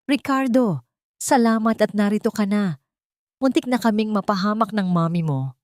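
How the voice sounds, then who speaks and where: A woman's voice: mid-range voice, moderate pace, a little mellow, dry recording, studio quality, voice pushed up, lightly acted. A woman voicing a hysterical, scheming rich woman who plays the victim in dramatic, pleading tones for an audio drama. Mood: feigned relief, hysterical.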